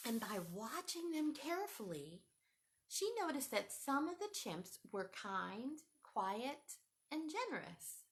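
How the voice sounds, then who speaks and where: Woman, storytime host, slow sing-song delivery, swooping high voice, picture book read aloud. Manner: animated, sing-song teacher voice, asks children questions. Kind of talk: reading a picture book aloud to young children.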